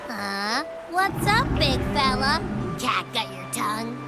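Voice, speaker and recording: High, swooping, cheeky game girl. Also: sing-song, slow pace, vocal fry, over loud music, exaggerated voice. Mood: teasing. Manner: brash, playful, casual and exclamatory. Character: cheeky, bold, upbeat girl who speaks for a whale. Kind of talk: video game character acting.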